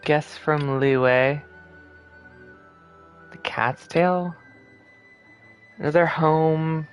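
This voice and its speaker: Slow-paced, casual game streamer. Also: mid-range voice, clear, resonant tone. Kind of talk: casual gameplay commentary. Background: game music.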